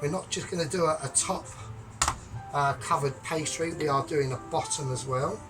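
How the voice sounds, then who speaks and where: A man's voice: on the high side, moderate pace. Man, casual cooking tutorial, step-by-step chat, music in background. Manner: chatty step-by-step explaining, joking asides. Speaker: home cook.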